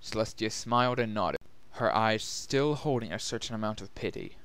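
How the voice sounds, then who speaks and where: A man's voice: on the low side, lively intonation, moderate pace, fairly bright tone, clean tone. A man narrating a scripted story as a dramatic reading. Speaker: narrator and reader.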